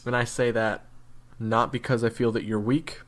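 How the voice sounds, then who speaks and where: A man playing a jaded, blunt monster hunter in an audio roleplay, speaking frankly and earnestly to a rookie, with profanity and halting delivery. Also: medium pitch, level tone, close to an everyday voice. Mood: earnest, weary. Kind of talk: dramatic audio roleplay monologue.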